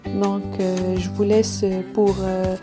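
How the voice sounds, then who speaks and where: A woman's voice: medium pitch, flat delivery, slow pace, music in the background. A woman who is not a native French speaker, giving a step-by-step sewing tutorial in a hesitant way, with many fillers and restarts. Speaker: sewing instructor.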